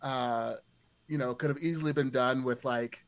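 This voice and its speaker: A man with a middle-pitched voice speaks as a guest in a casual podcast discussion. He rambles, circling back through his sentences and leaning on "like" as he goes. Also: steady tone, moderate pace.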